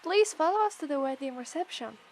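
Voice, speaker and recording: A woman's voice: high voice, animated, brisk, a little hiss or hum. A woman with an Italian accent voicing an affectionate, cheerful young demon princess bride in an ASMR roleplay. She giggles often and gets flustered easily. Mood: gracious. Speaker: voice actress.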